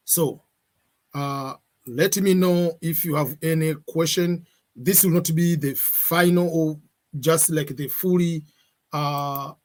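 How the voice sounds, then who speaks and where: A middle-aged man answers viewers' questions on a livestream, speaking at a slightly raised pitch. He hesitates often, fills pauses with "uh," and moves between English and Swahili. He sounds like he is at a webcam in a room at home. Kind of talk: casual live Q&A.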